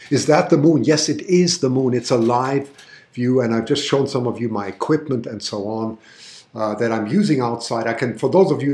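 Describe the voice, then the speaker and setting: A man with a medium-pitched voice and a blended international accent from a German upbringing, hosting a live stream outdoors at night. He talks in an eager, chatty way, often repeating and restarting words. The sound carries a roomy echo. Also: darkish tone. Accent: mixed international with German roots. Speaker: livestream host showing an eclipse. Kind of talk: live commentary.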